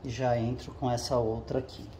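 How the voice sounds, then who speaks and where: A man's voice: mid-range voice, flat delivery, a little fast, mellow, a little hiss or hum. Man demonstrating a task in an echoey garage. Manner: explains step by step while working with his hands, often checking with "tá?". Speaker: channel host. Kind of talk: casual how-to demonstration. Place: home garage with the door open.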